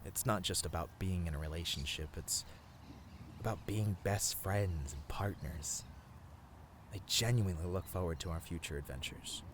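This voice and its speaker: A man playing a tender, sappy boyfriend in a romantic ASMR roleplay, speaking softly and earnestly to the listener with small hesitant stammers. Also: sits low, normal speed, background noise, lightly acted. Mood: tender, adoring. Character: tender, sappy boyfriend confessing love on a picnic.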